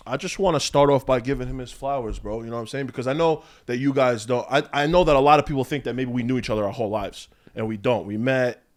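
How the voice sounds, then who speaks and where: Fast-talking young man, emphatic. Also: mid-range voice. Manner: fast, emphatic, heartfelt, swears freely. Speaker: podcast guest, clothing brand owner. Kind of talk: casual chat.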